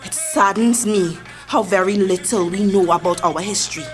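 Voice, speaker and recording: A woman's voice: medium pitch, moderate pace, close to an everyday voice. An actress playing Mavis, an older Trinidadian community matriarch who tells her people's history with passion. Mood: impassioned. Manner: storytelling, impassioned, preaching history. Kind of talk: radio drama acting. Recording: music behind the voice.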